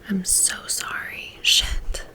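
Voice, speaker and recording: A woman reading a first-person novel aloud in a soft, intimate whisper, in a steady ASMR style. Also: takes it slowly, rough recording. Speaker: audiobook reader.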